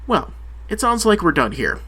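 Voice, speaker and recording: A man's voice: high voice, wildly swooping pitch, moderate pace, a bit bright, breathy edge, heavily acted. An actor playing a small-town police chief and father, firm, curt and ready to swear. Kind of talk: audio drama acting.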